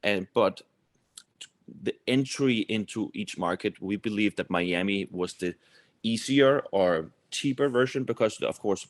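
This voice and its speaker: A man's voice: on the low side, unhurried, no room sound. A man, answering interview questions in a loose, conversational way as a company executive. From Copenhagen, Denmark. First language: Danish. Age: 30s. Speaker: company executive, podcast guest.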